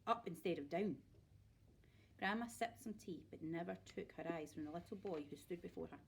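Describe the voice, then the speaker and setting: A woman's voice: on the low side, brisk, vocal fry, slight background noise. A woman narrating a children's story aloud expressively, like a teacher reading to pupils.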